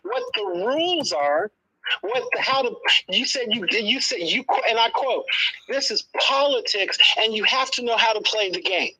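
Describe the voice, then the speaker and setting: A debater in their sixties with a bright, forceful voice, speaking in a live online discussion. The delivery is insistent and explanatory, circling back to points to drive them home. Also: mid-range voice, expressive, normal speed, slightly rough, rough recording. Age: 60s. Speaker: panelist in a live audio discussion.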